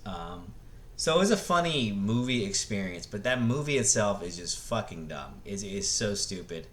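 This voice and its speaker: Low-voiced man rambling bluntly over noise. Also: big pitch swings, a bit bright. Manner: blunt, profane, halting with repeated false starts. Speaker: host of a movie review vlog. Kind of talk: casual, rambling opinion talk.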